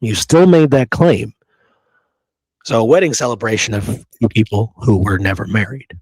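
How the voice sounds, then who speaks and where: A man's voice: on the low side, normal speed, a little mellow, breathy edge, no room sound, poor audio. A man co-hosting a casual discussion, speaking emphatically and often checking in with short rhetorical questions. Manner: emphatic, rhetorical check-ins like "right?" and "okay?". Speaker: podcast co-host.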